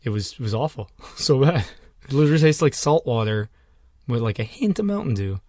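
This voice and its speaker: A man's voice: medium pitch, lively intonation, brisk, darkish tone, no echo. A man co-hosting a casual podcast from a small room at home, tired and telling jokey, rambling stories. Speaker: podcast co-host. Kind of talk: casual banter.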